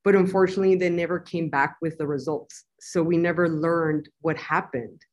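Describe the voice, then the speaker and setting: A woman from the US-Mexico border answers interview questions in a low, earnest voice. She is bilingual and talks in a measured way, pausing on small fillers as she explains her work. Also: fairly even intonation. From US-Mexico border, Nogales. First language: bilingual Spanish and English. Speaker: guest, community-engaged scientist.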